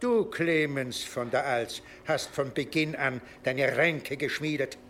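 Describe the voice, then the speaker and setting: An actor in a radio play playing a powerful medieval archbishop, accusing and mocking in a deliberate manner. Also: male voice, mid-range voice, a bit bright, slight background noise. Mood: cold, triumphant.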